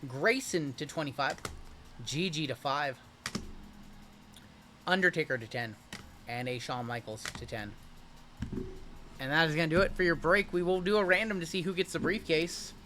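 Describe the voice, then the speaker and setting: Hyped live-stream card break host, a man with a high, bright, strained voice calling out cards. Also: normal speed, pauses often, slight background noise. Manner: hyped, joking, calls out cards as they are pulled. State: voice going hoarse from shouting. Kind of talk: livestream card break commentary.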